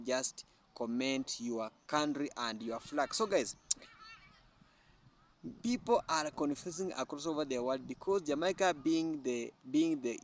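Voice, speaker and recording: A man hosting a commentary, halting and repeating phrases while searching for words. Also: mid-range voice, a little hiss or hum. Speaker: commentary host.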